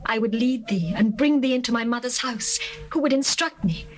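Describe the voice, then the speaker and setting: A woman voicing a young bride who longs for her beloved, in an earnest, measured dramatized scripture reading. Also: on the high side, close to an everyday voice. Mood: longing. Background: faint music.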